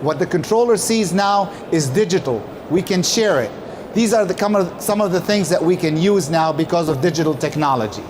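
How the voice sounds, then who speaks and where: A man's voice: sits high, lively intonation, brisk, noisy background. A man giving a prepared presentation as a company speaker, explaining emphatically and posing questions that he then answers. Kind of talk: prepared conference-style presentation talk.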